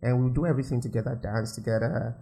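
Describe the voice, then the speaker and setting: A young Nigerian man with a Nigerian accent, a musician guest telling his story in a casual interview, animated and quick to laugh. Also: medium pitch, creaky. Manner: animated, laughs often, fillers like "you know".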